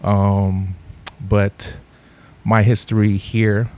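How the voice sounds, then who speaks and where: A middle-aged man from Los Angeles, a podcast guest telling his story in a relaxed, rambling way with lots of fillers. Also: fairly low pitch, drawn-out words. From Los Angeles, USA. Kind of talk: casual podcast interview.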